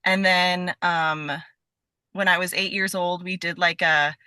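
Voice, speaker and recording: A woman's voice: on the low side, a little slow, smooth, dry recording, studio quality. A woman talking casually as an interview guest, telling stories in a chatty, giggly way. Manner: chatty, animated storytelling with quoted asides; giggly, breaks into laughter. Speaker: podcast guest.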